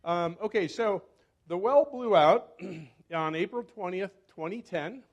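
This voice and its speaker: An older man, a scientist, giving a casual talk at a club meeting, often correcting himself and pausing. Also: high voice, highs cut off. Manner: chatty, self-correcting, fumbles with clicker. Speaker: guest speaker, scientist. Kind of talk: informal lecture. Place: meeting hall. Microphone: handheld or podium microphone.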